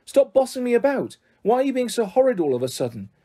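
A woman's voice: medium pitch, big pitch swings, a little fast, dark tone, husky, pitched above the performer's own voice. A woman voicing a stubborn, pleading, emotional young girl in a storybook. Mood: indignant.

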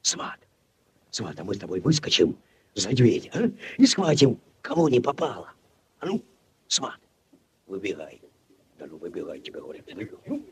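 A man's voice: lowish voice, huge pitch swings, normal speed, long pauses, a little hiss or hum. An actor playing a bossy, blustering village headman full of exclamations, in 1940s radio style. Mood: excited, bossy. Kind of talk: 1940s Soviet radio acting.

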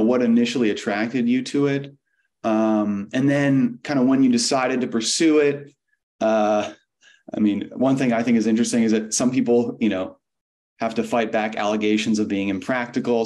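A man's voice: lowish voice, darkish tone. A young man interviewing a guest over a video call, asking long, rambling questions full of fillers. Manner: rambling, many fillers and hedges.

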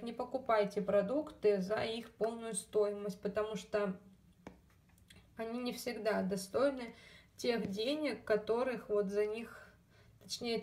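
A woman's voice: on the high side, distant mic. A woman vlogger chatting casually about her shopping, full of fillers and asides to the viewers, her throat a little scratchy. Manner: chatty, many fillers, addresses viewers as girls. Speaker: beauty vlogger. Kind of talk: casual haul video chat.

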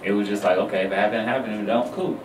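An American man in his thirties chatting casually as a guest, with a halting manner. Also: lowish voice, far from the mic. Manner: halting, repeats "like". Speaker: guest, music artist. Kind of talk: casual chat. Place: small radio studio with foam panels. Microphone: studio microphone on boom arm. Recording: echoey room, off-mic, faint noise.

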